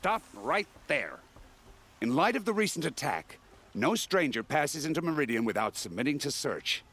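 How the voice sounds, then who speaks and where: An actor playing an officious, suspicious city gate guard in a video game. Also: male voice, on the high side, very animated, normal speed, background noise. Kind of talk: video game character dialogue.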